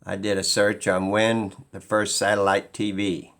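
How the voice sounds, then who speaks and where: A man talking informally and skeptically as he explains something, halting and pausing mid-sentence as he muses. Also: fairly low pitch, flat delivery, fairly bright tone. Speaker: video host.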